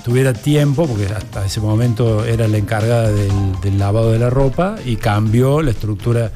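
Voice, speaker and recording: A man on a radio panel, explaining points in a measured way. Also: on the low side. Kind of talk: casual radio chat. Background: music.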